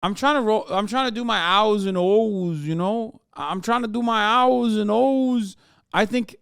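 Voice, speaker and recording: A man's voice: high-pitched, clear, resonant tone, no room sound, studio quality. A man streaming live, reacting to clips and articles in a blunt, profane, self-mocking way.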